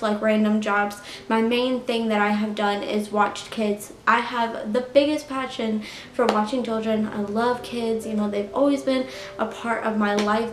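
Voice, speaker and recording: A woman in her late twenties talking casually to her viewers, full of "like" and "you know". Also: fairly high pitch. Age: 20s. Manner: chatty, heavy use of "like" and "you know". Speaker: YouTuber. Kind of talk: casual storytime vlog. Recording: echoey room.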